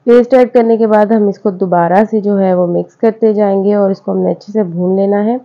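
A woman's voice: medium pitch, steady tone, fairly quick. Friendly woman narrating a home recipe. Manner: friendly, repetitive home-cook explaining step by step. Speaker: cooking channel host. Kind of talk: voice-over recipe instructions. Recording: slightly dull, lo-fi sound.

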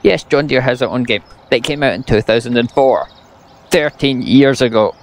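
A man's voice: medium pitch, moderate pace, a little hiss or hum. A young Scottish man giving blunt, sarcastic gameplay commentary and ranting about a farming game. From Scotland. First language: English. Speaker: gaming YouTuber.

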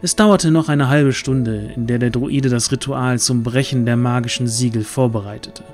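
Guy narrating a fantasy audio play, mid pitched, dramatic storyteller, bit of music under it. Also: normal speed, a little mellow.

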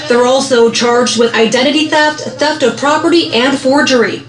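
A woman TV news reporter delivering a live report outdoors at night into a handheld mic, in a measured news delivery. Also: a little high, echoey room, low-quality recording. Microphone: handheld microphone.

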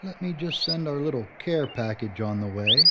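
A man's voice: medium pitch, animated, moderate pace, natural voice. A man acting as a determined filmmaker hero in a horror adventure drama, blunt and matter-of-fact. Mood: hurried. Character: gruff, determined male filmmaker turned action hero. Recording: dull audio with loud background noise.

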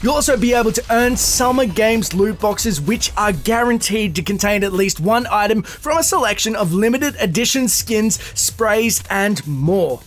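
A man's voice: high voice, expressive, moderate pace, few pauses. A man reading scripted gaming news as a video host, in an upbeat promotional manner. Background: music.

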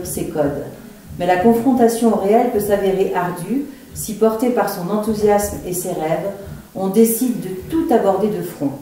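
A woman moderating a panel evening, reading a book passage aloud in a measured way. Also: medium pitch, dark tone, a little hiss or hum. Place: small room in a bookshop. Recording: very echoey, far from the mic.

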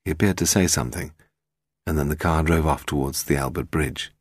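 A man narrating a detective novel as an audiobook, in a measured, expressive storytelling manner. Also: rumbling low, slightly rough, no echo, studio quality.

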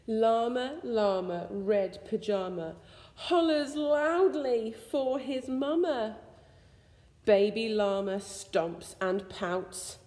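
A woman reads a rhyming children's picture book aloud in an expressive, sing-song storytelling way. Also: fairly high pitch, takes it slowly. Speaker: school staff member reading a bedtime story. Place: school room with suspended ceiling, indoors. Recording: echoey indoor room with long reverb.

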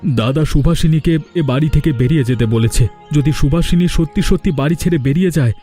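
Man acting a stern, mellow-voiced village family man. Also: on the high side, a little fast, soft background music, lightly acted. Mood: urgent, commanding. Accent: Bengali. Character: stern, emotional men of a feuding village family. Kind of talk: Bengali cartoon voice acting.

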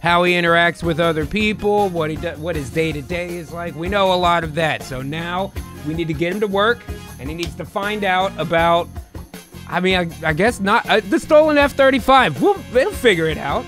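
High-pitched man bantering casually over music. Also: a bit bright. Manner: profane, halting while composing. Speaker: host of improv writing show. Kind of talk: casual banter, reading out as he types. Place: indoor home streaming setup.

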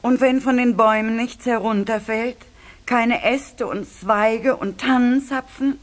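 A woman's voice: on the high side, steady tone, lightly acted. A woman playing a plaintive old wood gatherer in a children's audio drama, drawing out her words as if lamenting. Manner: drawn-out, lamenting delivery.

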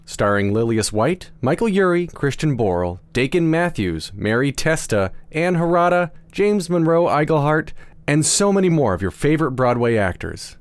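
A man's voice: fairly high pitch, big pitch swings, normal speed, no room sound. A man reading a scripted promotional announcement as a podcast announcer.

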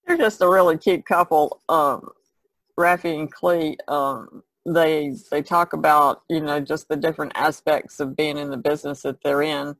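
A woman with a gravelly, creaky voice chats casually as a podcast co-host. She rambles in an easygoing way, recorded dry with little room sound. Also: low-pitched, steady tone, moderate pace, no room sound, rough recording. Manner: rambling, easygoing, giggles often. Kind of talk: casual chat.

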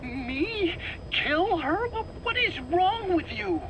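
An actor playing a nervous, evasive male scientist under questioning in a video game, stammering, defensive and pleading in a halting, self-pitying way. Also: very high-pitched, animated, noisy background, exaggerated voice. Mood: defensive, indignant. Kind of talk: dramatic video game voice acting.

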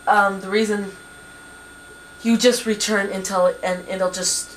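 A young woman patiently teaching word processing at a desk computer, with many pauses and ums, recorded on a 1990s camcorder in a small home office. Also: medium pitch, steady tone, poor audio. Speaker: computer instructor. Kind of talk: hands-on software tutorial. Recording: 1990s camcorder with faint hiss.

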